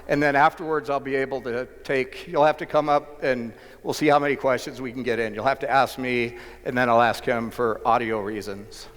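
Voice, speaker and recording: A man's voice: mid-range voice, steady tone, brisk, few pauses, fairly bright tone. A man hosting a live event, introducing a guest with hesitant, admiring remarks. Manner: hesitant, admiring, many fillers. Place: convention hall. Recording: long hall reverb.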